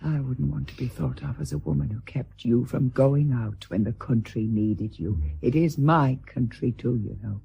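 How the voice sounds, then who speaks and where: A woman playing a bold, possessive, teasing mistress in a radio drama, with a theatrical, earthy manner and a husky, creaky voice. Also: a bass voice, normal speed, few pauses, muffled recording, full character voice. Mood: proud, frank.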